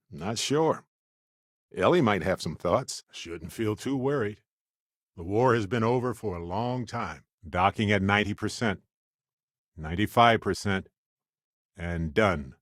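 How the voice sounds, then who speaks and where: An actor playing a wry, adventurous, warm-hearted space explorer companion in a video game, speaking in an expressive, conversational way. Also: male voice, lowish voice, no room sound, studio quality. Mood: earnest, reflective.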